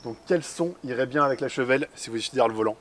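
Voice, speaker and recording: A man's voice: medium pitch, fast talker, fairly bright tone, a little hiss or hum. A man co-hosting a casual chat about cars and music, easygoing and enthusiastic. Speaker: co-host.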